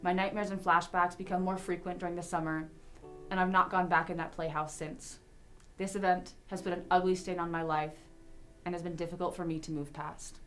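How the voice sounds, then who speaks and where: A woman's voice: on the low side, little pitch movement, a little fast, fairly bright tone, faint music behind. A young adult woman, a student, reading a personal account aloud. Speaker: student reader.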